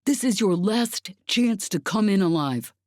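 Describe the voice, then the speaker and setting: A woman's voice: mid-range voice, animated, measured pace, a little mellow, no room sound, clean studio sound, voice pushed up, natural voice. A woman voicing a stern soldier commander making amplified threats in an audiobook. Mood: stern, threatening. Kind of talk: audiobook character acting.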